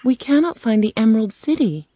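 Woman playing an earnest young girl, raised pitch. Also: sing-song, brisk, mellow. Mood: questioning.

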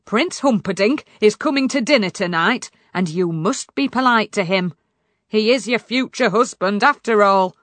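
A woman voicing a stern, blustering king and exasperated father, loud and commanding, in a storybook. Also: mid-range voice, moderate pace, few pauses, no echo, natural voice. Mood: stern, insistent.

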